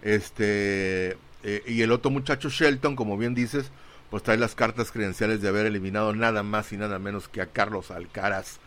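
A man's voice: fairly low pitch, steady tone, normal speed, fairly bright tone. A man co-hosting a radio show in casual sports chat.

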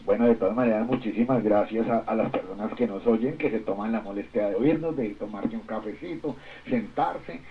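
Halting Colombian radio man, muffled sound. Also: fairly low pitch, a little hiss or hum. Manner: halting, repetitive, searching for words. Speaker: radio show co-host. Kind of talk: casual sports chat.

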